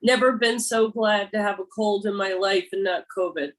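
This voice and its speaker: A woman's voice: medium pitch, fairly even intonation, moderate pace. Stuffy woman with a head cold. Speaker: meeting participant. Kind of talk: casual chat.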